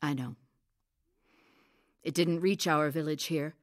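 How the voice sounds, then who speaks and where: A woman playing a composed, caring village healer in an audio drama, steady, practical and coaxing. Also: low-pitched, slow, no echo, clean studio sound. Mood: gentle, probing.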